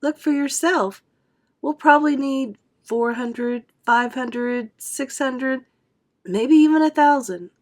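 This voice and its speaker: A woman playing a calm, determined young boy in a storybook, explaining firmly. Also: high-pitched, takes it slowly, voice pushed up. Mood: persuasive. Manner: firm, explanatory.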